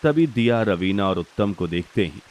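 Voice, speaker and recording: Low-voiced male story narrator. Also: animated, normal speed, a little mellow. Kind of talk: scripted story narration for cartoon.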